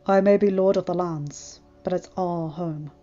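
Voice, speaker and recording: A woman's voice: lowish voice, steady tone, unhurried, dark tone, close to an everyday voice. A woman voicing an affectionate, principled young lord in a story, teasing and earnest. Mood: warm. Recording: muffled lo-fi recording, music behind.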